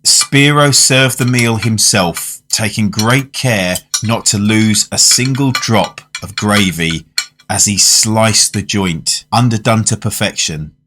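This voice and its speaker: An actor playing a genteel gentleman storyteller in a radio drama, wry, theatrical and measured in delivery. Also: fairly low pitch, noisy background. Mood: wry storytelling. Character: genteel, droll male storyteller and gourmet, morbidly fascinated. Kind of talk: dramatised first-person narration and dialogue.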